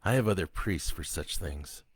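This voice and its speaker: A man's voice: deep voice, expressive, moderate pace, slightly rough, no echo, clean studio sound. A man voicing a grave, shaken priest. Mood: stern. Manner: grave, stern, restrained.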